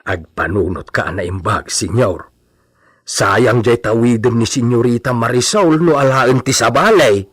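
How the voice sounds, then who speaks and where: A man's voice: medium pitch, measured pace, breathy edge. A man playing a loyal servant in a radio drama, earnestly and deferentially cautioning his master.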